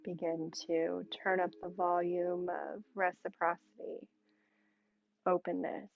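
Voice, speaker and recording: A woman yoga instructor leading a guided meditation calmly and soothingly, in short phrases with long pauses, on a muffled recording. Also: fairly low pitch, creaky.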